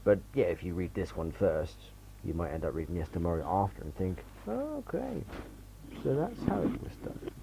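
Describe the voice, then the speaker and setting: Man, very deep voice, mellow tone, lively pitch, casual dry humor. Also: vocal fry, slight background noise, rough recording. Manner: dry joking, quick asides. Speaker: author guest. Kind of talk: casual livestream chat.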